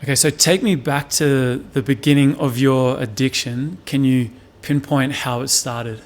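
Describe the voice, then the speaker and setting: A man's voice: medium pitch, steady tone, unhurried. Guy interviewer, medium voice, just asks straight questions. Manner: plain, direct questioning.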